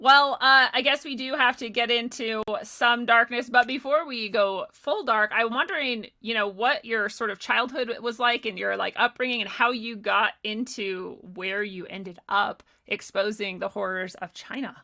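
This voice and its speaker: Woman, show host, casual interviewing, bright sharp voice, slightly high. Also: steady tone, moderate pace, few pauses. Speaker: livestream host.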